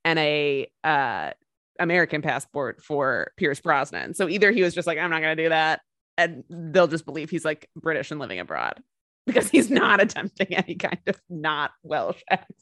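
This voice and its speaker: Animated woman co-host with a low voice. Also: normal speed, no echo, clean studio sound. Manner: animated, joking, lots of fillers. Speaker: podcast co-host. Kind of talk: casual film chat.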